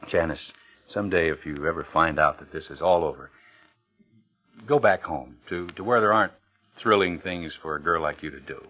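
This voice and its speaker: Very deep-voiced, forceful noir man. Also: moderate pace. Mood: earnest. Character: guarded, smooth-talking noir man with a secret burden. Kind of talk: 1950s radio drama acting with noir narration. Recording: dull old radio recording, music underneath.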